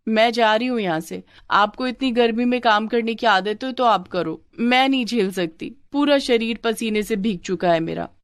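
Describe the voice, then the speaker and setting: A woman's voice: on the high side, normal speed, few pauses, clean tone, no echo, clean studio sound, pitched above the performer's own voice, close to an everyday voice. A woman voicing a pampered young younger sister in a cartoon story, dramatic and complaining. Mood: fed up. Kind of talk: cartoon moral story acting.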